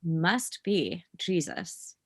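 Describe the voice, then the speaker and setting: A woman's voice: lowish voice, big pitch swings, very slow, husky, exaggerated voice. A woman playing a brash, spiteful young schemer in a comedy table read, blunt and sardonic.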